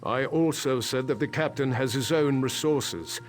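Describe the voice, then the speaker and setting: An actor playing a commanding middle-aged nobleman and worried father in a video game, authoritative and measured. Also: medium pitch, soft background music, close to an everyday voice. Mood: insistent.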